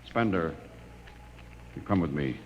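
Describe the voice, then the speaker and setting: An actor playing a commanding, level-headed expedition captain, authoritative and measured, in a radio drama. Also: male voice, very deep voice, lively intonation, long reverb tail, muffled recording, noisy background. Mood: calm, reasoning.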